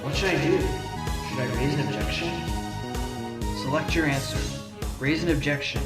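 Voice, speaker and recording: A man's voice: on the high side, fairly even intonation, normal speed, lightly acted. A man playing a determined, probing fox defense attorney in a fan courtroom audio drama, given to dramatic delivery. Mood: pondering. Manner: dramatic shouted objections, pondering asides. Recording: roomy echo under loud music.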